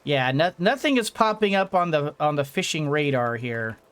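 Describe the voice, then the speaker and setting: High-pitched man narrating his gameplay. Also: lively intonation, moderate pace, fairly bright tone, smooth. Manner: rambling, thinking aloud. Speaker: gaming video host. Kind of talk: casual let's-play commentary.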